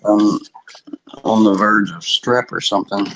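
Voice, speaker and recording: A middle-aged man with a sore throat chatting casually to viewers, clearing his throat often and swearing offhand. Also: fairly low pitch, measured pace, noisy background. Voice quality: husky. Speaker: cannabis vlogger. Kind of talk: casual rambling chat to viewers.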